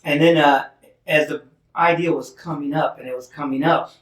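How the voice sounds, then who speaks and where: A man's voice: fairly high pitch, fairly even intonation, normal speed. A man from Michigan, a musician guest, telling stories in a casual interview, chatty and laughing often. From Holland, Michigan. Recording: roomy sound, distant mic.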